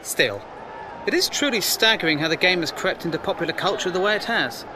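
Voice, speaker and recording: A man's voice: high-pitched, expressive, brisk, a crowd in the background, background noise. An actor playing an earnest, questioning First World War newspaperman, well-spoken, formal and wry, in an audio drama. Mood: earnest, probing. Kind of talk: period audio drama acting.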